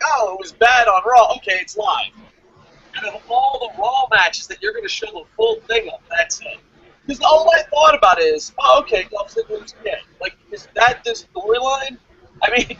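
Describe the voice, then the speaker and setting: A man giving excitable, casual fan commentary as co-host of a review show, rambling and repeating himself. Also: extremely high pitch, breathy edge, highs cut off.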